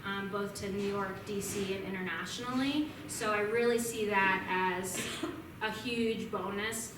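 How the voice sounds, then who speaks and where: A woman's voice: mid-range voice, fairly even intonation, measured pace. A young woman from Vermont giving a casual talk and answering questions, chatty and upbeat, with frequent ums. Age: young adult. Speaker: guest speaker at a club meeting. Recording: echoey room from a distance, noisy.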